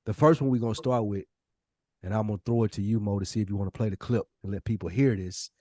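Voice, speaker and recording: A man's voice: lowish voice, fast talker, dark tone, dry recording, studio quality. A man hosting a podcast in a casual, slangy, informal way. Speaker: podcast host. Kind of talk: casual chat.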